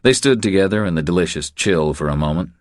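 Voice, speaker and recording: Very deep-voiced male audiobook narrator. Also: expressive, fast talker, dry recording, studio quality.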